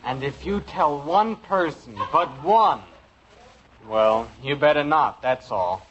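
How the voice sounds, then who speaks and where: An American actor playing an eager, wheedling, jumpy teenage brother in a 1950s radio sitcom. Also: male voice, on the high side, expressive, slow, fairly bright tone. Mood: bargaining. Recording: noisy, muffled old radio recording.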